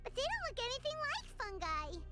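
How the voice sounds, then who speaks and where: A woman voicing a tiny, excitable fairy companion in a video game, squeaky and childlike, given to exclaiming. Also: fairly quick, music in the background, full character voice. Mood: alarmed, curious. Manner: exclaiming, refers to self in third person.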